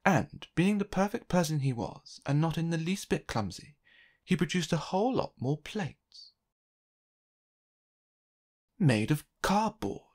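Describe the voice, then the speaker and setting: Children's read-aloud narrator, theatrical and deliberate, with highly animated intonation. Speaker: storybook narrator.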